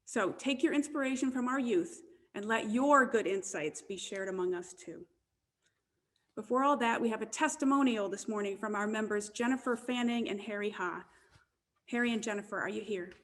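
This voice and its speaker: A woman minister leads an online church service with a gentle, warm delivery. Her pitch sits in a middle range. The sound is like a video call. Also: normal speed.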